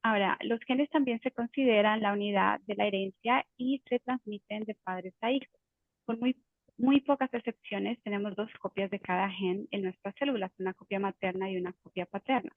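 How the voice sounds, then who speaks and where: A woman explains the topic to a webinar audience in a patient, teacherly way, pausing on fillers and restarting phrases. Her voice sits at a middle pitch and comes through a tinny, phone-like video call line with a very dry sound. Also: no echo. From Colombia. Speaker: genetic counselor. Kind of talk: informative webinar talk.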